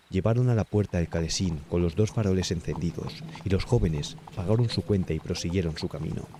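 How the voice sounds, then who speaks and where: A man's voice: deep voice, background noise. A man with a Castilian Spanish accent narrating a scripted horror story as an audiobook.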